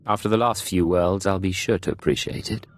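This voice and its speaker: Brisk, very low-voiced wry agent played by a man. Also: fairly even intonation, close to an everyday voice. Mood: dry, wry. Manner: dry, sardonic, clipped. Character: dry, wry male secret agent. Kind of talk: video game voice acting.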